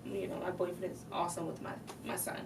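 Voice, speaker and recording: A woman's voice: fairly low pitch, flat delivery, fast talker, vocal fry. A woman singer being interviewed on a podcast, bubbly and giggly as she talks casually. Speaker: singer in a group. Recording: distant mic with faint noise.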